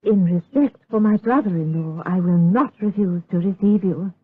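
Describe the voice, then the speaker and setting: Woman as a husky, stern widowed mother. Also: medium pitch, moderate pace, lightly acted. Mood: wary, formal. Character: stern, protective widowed mother, formal and wary. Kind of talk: period audio drama acting. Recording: dull lo-fi radio drama recording.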